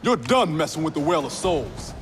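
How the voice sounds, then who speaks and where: A man playing a steadfast, defiant soldier in a video game cutscene, with a gruff and confident manner. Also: fairly high pitch, very animated, moderate pace, noisy background.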